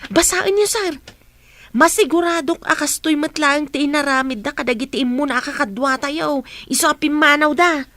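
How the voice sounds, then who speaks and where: Lady acting nosy older housekeeper in a radio play, super high voice, excitable, protective type. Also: moderate pace. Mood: alarmed. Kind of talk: Ilocano radio drama acting.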